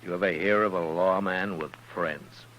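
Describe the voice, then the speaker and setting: An actor playing a weary, stern frontier marshal in a 1950s radio western, speaking in a laconic, dry way. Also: male voice, deep voice, steady tone, normal speed, fairly bright tone. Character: weary, stern male US marshal in the Old West. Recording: muffled vintage radio recording, faint noise.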